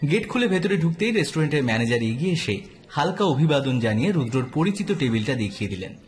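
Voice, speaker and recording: A man's voice: mid-range voice, slight background noise. A man narrating a scripted detective story as an audio drama narrator.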